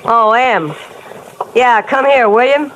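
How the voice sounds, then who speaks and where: An actress playing a hostile wife on a boat in a 1950s radio drama, curt and sharp. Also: female voice, fairly high pitch, takes it slowly. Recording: old radio broadcast with hiss.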